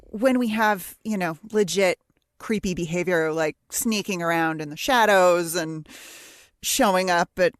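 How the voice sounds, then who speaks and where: A woman's voice: lowish voice, measured pace, dry recording. Thoughtful woman, dry close-miked voice. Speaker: podcast co-host. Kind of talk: casual analytical TV discussion.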